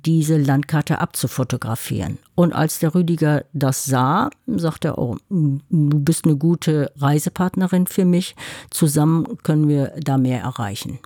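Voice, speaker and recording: Older German woman as interview guest, mellow and firm, dry close recording. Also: sits low, dry recording. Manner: firm, matter-of-fact storytelling. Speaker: guest activist. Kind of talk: interview conversation.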